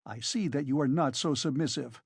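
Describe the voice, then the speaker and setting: A narrator voicing a pompous, self-important gentleman in an audiobook, formal, measured and insistent in his reproach. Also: medium pitch, slightly rough, no room sound, studio quality. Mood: flustered. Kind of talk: dramatised audiobook reading.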